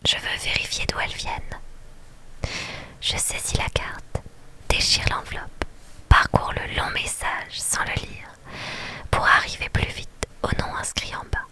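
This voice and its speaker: A reader giving a whispered ASMR reading of a novel on a live stream, pausing now and then to thank viewers. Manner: soft steady whisper, thanks viewers between passages.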